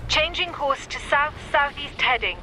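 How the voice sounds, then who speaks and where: A woman's voice: sits high, unhurried, noisy background. An actress playing an urgent, commanding female military pilot in a war game. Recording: music behind the voice.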